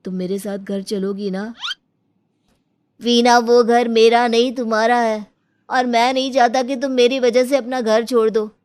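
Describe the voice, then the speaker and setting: A woman's voice: a little high, little pitch movement, a little slow, darkish tone, smooth, lightly acted. A woman voicing a troubled, apologetic village husband in a cartoon story, pleading earnestly. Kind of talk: cartoon moral story dialogue.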